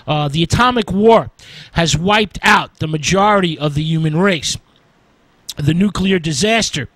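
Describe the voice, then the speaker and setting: A man giving an informal film history lecture, speaking haltingly with deliberate emphasis on key words. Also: sits high, fairly bright tone, no echo, poor audio. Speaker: film lecturer and radio presenter.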